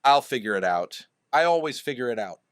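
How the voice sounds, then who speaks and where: A man playing an eccentric, stoic oddball in an audio drama, speaking with formal, earnest deadpan. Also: mid-range voice, brisk, no echo, clean studio sound, natural voice. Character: eccentric, stoic male hot-sauce maker in a trailer.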